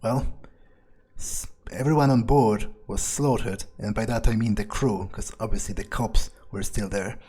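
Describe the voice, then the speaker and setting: A man's voice: lowish voice, steady tone, moderate pace, warm tone, husky. A man playing a game in character, thinking aloud in a casual, hesitant, musing way.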